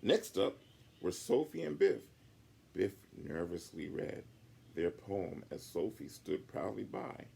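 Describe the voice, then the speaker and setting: A man reading a picture book aloud to children in an animated way, with chatty asides. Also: low voice, slow, vocal fry, breathy edge. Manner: chatty asides to young listeners.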